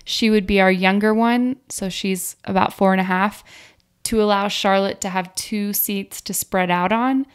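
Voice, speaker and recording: A woman's voice: medium pitch, steady tone, normal speed, clear, resonant tone. A woman in her thirties co-hosting a podcast, giving casual, conversational advice close to a tabletop microphone. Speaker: podcast co-host. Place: small indoor room at a table. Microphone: tabletop podcast microphone on stand.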